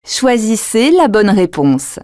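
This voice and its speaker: A woman reading scripted language test instructions and short dialogues in a measured, clearly enunciated way. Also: medium pitch, big pitch swings, mellow.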